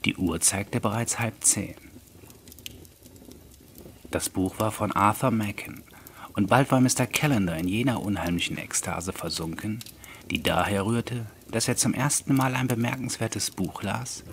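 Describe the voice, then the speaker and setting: A male narrator with a fairly low voice reads an eerie literary story. The delivery is scripted audiobook narration, and the recording carries clearly audible noise. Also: unhurried.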